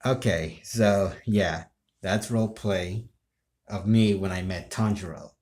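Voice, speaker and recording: A man's voice: deep voice, takes it slowly, darkish tone. A man talking casually and informally to viewers about his own role play, rambling a little. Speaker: amateur role-play video creator.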